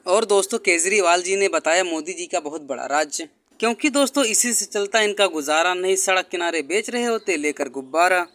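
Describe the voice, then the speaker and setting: Bright high-pitched man narrating satire. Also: expressive, a little fast. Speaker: narrator of political troll video. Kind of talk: scripted rhyming satirical narration.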